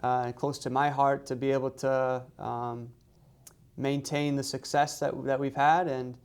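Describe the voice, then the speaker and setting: Male coach, interview answers. Smooth clear voice, fairly flat pitch, hesitant word-by-word phrasing. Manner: halting, hesitant phrasing with repeated words. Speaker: head soccer coach. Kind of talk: media day interview answers.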